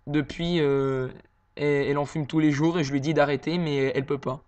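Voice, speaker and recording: A man making a prank phone call, posing as a worried son and talking hesitantly with many pauses and fillers. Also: on the high side, steady tone, a little mellow.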